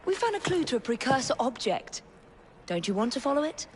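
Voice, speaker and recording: An actress playing a confident, inquisitive young Victorian adventuress with a direct manner in a video game. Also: female voice, high voice, big pitch swings, a little fast. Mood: curious, determined. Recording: heavy ambient background noise.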